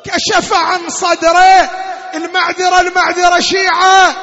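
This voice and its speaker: A man delivering a half-chanted Shia lamentation sermon as a religious reciter, in an anguished, wailing, drawn-out voice. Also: extremely high pitch, little pitch movement, sharp tone, shouting, a faint crowd. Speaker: religious mourning reciter and preacher. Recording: long hall reverb, dull lo-fi sound, music-like backing.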